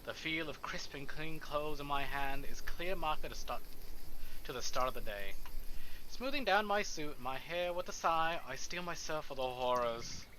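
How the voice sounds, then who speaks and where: A man's voice: on the high side, expressive, normal speed, sharp tone, a little hiss or hum, rough recording. A man reading visual novel narration on a livestream, expressively and theatrically, with casual asides. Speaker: streamer.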